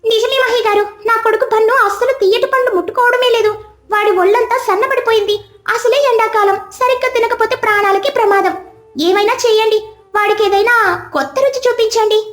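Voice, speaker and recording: A woman's voice: very high-pitched, fairly even intonation, fast, a bit bright, roomy sound, pitched above the performer's own voice. A woman voicing a worried mother bird in a cartoon, anxious and pleading.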